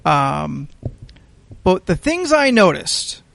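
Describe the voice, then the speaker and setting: A man's voice: a little high, big pitch swings, slow pace, vocal fry, a bit bright. An American man hosting a casual podcast, telling anecdotes in a rambling, hesitant, wry way. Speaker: podcast host.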